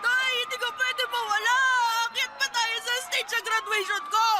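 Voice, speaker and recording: A woman's voice: very high voice, fairly even intonation, normal speed, a crowd in the background. A woman playing an anxious, devoted young son in a Tagalog radio drama, speaking respectfully and with open emotion. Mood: panicked, grieving. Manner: respectful, pleading, emotional delivery. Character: anxious, devoted young boy named Boyet, a poor man's son.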